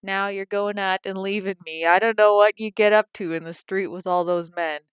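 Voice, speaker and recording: A woman's voice: fairly even intonation, normal speed, clear, resonant tone, no echo, natural voice. A woman voicing a cantankerous, self-pitying old working-class mother with a Cockney accent, complaining and scolding. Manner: complaining, shrill when angry.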